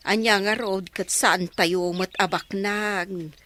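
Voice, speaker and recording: A gentle poor village mother. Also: medium pitch, steady tone, slow, slight background noise. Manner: gentle, comforting.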